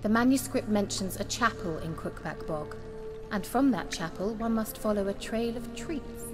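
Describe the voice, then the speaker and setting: A woman's voice: mid-range voice, expressive, moderate pace, close to an everyday voice. A woman acting a self-assured, cultured sorceress in a video game, articulate and theatrical, with a slyly teasing, coy manner. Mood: earnest, storytelling. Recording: long reverb, music behind the dialogue.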